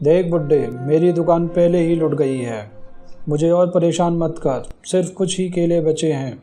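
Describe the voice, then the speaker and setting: A man voicing an irritable, grudgingly kind young vegetable seller in an animated moral story. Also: fairly high pitch, moderate pace, soft background music, lightly acted. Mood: irritated. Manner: grumbling, exclaiming.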